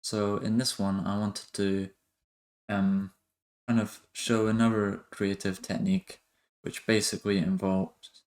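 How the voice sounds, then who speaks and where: A man giving a casual software tutorial, talking in a hesitant, halting way as he thinks aloud. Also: on the low side, flat delivery. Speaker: music production tutorial host.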